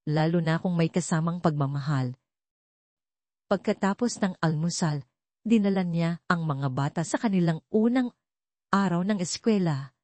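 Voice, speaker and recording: A woman's voice: lowish voice, darkish tone, dry recording. A woman reading a scripted dramatic story as its narrator.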